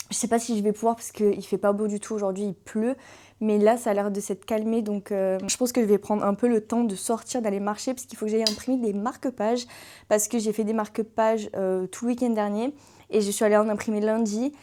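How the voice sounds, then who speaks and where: Chatty young woman with a mellow voice. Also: medium pitch, steady tone. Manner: chatty, fills pauses with hesitations. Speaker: book content creator. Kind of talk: casual vlog chat.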